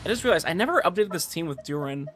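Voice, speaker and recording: A man streaming a video game, chatting casually and excitably in slangy, informal language over game music. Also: fairly high pitch, fast. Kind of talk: casual livestream commentary and chat.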